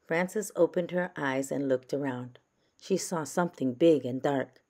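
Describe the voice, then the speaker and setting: A woman narrating a children's storybook aloud in a measured, gentle manner. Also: low voice. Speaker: storybook reader.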